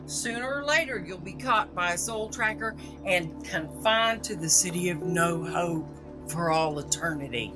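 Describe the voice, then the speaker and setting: A woman playing a bossy, exasperated chief of underworld soul trackers in an audio drama, authoritative and scolding in a deliberate way. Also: lowish voice, over music, lightly acted. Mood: grim, firm. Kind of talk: scripted audio drama acting.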